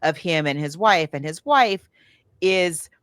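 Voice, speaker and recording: A woman podcast host with a slightly low voice, recorded close and dry. She talks casually, rambling through a retelling as if chatting with a friend. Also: lively intonation, slow pace, a bit bright, no echo. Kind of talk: casual chat recounting a film plot.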